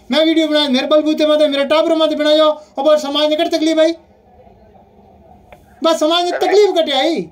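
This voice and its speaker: A speaker with a Rajasthani accent arguing heatedly and insistently on a phone call, often repeating points. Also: sits high. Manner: insistent, repetitive.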